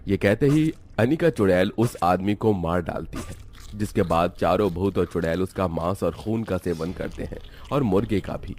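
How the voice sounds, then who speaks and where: A man's voice: sits low, expressive, normal speed, a little mellow, slight background noise. A man giving scripted narration of a cartoon horror tale as the storyteller. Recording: music under the voice.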